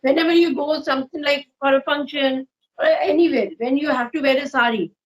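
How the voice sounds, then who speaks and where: An older woman with a high voice leads a casual English practice chat. She asks easy personal questions and keeps dropping "you know". Her delivery is unhurried and conversational. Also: fairly even intonation, lo-fi sound.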